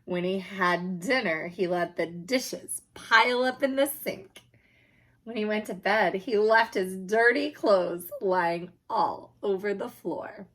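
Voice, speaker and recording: A woman reading a children's picture book aloud in an expressive, emphatic storytelling manner, with a slightly husky voice. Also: mid-range voice, takes it slowly.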